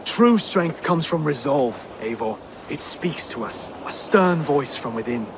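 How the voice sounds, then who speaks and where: A man's voice: a little high, expressive, measured pace, gravelly, noisy background. A nervous young Saxon would-be king. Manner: hesitant, flustered. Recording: game cutscene with loud ambience.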